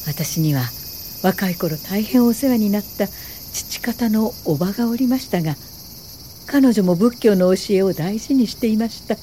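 A woman's voice: mid-range voice, lively intonation, warm tone, a little hiss or hum, close to an everyday voice. An actress playing a thoughtful woman in her late fifties, a welfare official, in a radio drama, speaking politely and reflectively. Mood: courteous. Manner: polite, measured, reflective storytelling. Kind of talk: radio drama narration and dialogue.

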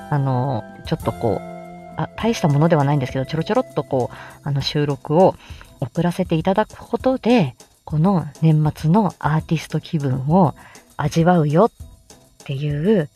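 Giggly woman with lively, swooping pitch. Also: slightly rough. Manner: giggly, self-deprecating, laughs often at herself. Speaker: host of a casual audio stream. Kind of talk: casual rambling chat with listeners.

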